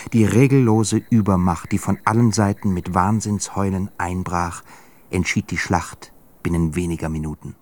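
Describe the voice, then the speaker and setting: A man narrating a literary radio drama from a script in a grave, deliberate manner. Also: low voice, darkish tone. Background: prominent music.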